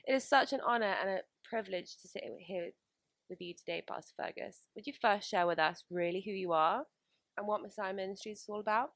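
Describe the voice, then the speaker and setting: Creaky-voiced woman hosting. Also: medium pitch, fairly quick, a bit bright, dry recording. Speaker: radio show host. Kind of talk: introducing and interviewing a guest.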